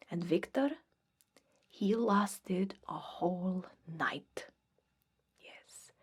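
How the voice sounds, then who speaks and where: An ancient, haughty female vampire, played by a woman, speaks in a breathy, hushed whisper. Her delivery is slow and deliberate, full of formal, cold disdain, as in an ASMR roleplay. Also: mid-range voice. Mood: ominous reverence. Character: haughty, cold, centuries-old female vampire blood hunter recruiter.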